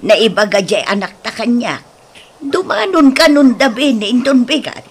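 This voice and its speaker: A woman's voice: a little high, sing-song, moderate pace, husky, heavily acted. A woman with an Ilocano Filipino accent playing a patient, middle-aged wife and mother who reasons and pleads in a radio drama. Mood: reasoning. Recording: slightly noisy radio drama recording.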